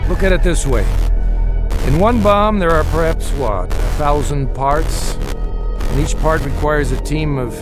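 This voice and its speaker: Loud music runs under the scene. A man plays a middle-aged American father in a radio drama, his voice a little high. He is troubled and guarded, making his case in earnest, deliberate speeches. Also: lightly acted. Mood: defensive. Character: troubled father, weapons worker, defensive and secretive.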